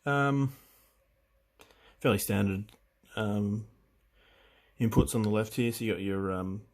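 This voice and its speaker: Male hobbyist presenter, lowish voice, informal and unscripted, hesitant as he talks through gear. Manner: hesitant, halting, thinking aloud while handling the machine. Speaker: hobbyist presenter demonstrating vintage audio gear. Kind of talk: casual unscripted equipment walkthrough.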